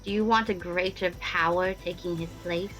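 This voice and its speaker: A woman's voice: fairly low pitch, steady tone, moderate pace, a bit bright, soft background music, close to an everyday voice, low-quality recording. Woman playing a determined, vengeful noblewoman. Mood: grave, urgent. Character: earnest, determined noblewoman seeking revenge and alliance. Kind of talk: radio play acting.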